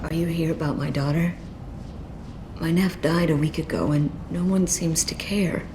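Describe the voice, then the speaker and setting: Female game role: bereaved working-class mother, desperate, tearful, clear background noise. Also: deep voice, steady tone, moderate pace. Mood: grieving. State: distraught, tearful. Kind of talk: video game voice acting. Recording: clearly noisy game ambience.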